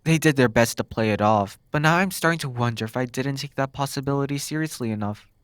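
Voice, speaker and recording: A man voices a smug demon captor in an ASMR roleplay. He is flirty and teasing, musing with pauses at a middling pitch. The sound is dry and close to the mic. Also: clean tone, no echo, close to an everyday voice. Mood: puzzled, frustrated.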